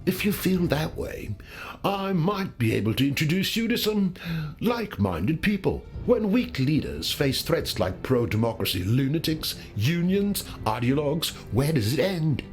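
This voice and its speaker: A man's voice: on the high side, very animated, a little slow, faint music behind. A man playing a persuasive, cynical conspirator in a video game. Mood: sly, persuasive. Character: scheming gentleman conspirator, smooth, persuasive, cynical.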